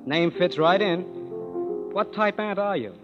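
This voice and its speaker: A man's voice: high voice, expressive, measured pace. An actor playing a cynical young male musician in his twenties, firing off dry sarcastic wisecracks, in a 1930s radio play. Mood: sardonic. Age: 20s. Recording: dull old radio broadcast, long hall reverb, music behind.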